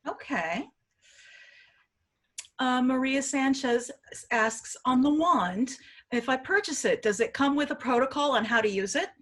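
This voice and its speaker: A woman moderating a webinar over a video call, reading out audience questions in a brisk, matter-of-fact way. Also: high voice, lively intonation, a bit bright.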